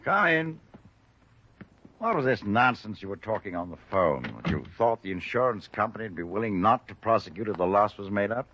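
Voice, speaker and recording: A dull old radio recording with a little noise. a wealthy, ruthless in a detective serial. His lowish voice is pompous, haughty and self-righteous. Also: sing-song, brisk, fairly bright tone. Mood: indignant. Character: indignant, haughty male robbery victim, well-off and ruthless. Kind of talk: 1950s radio detective drama acting.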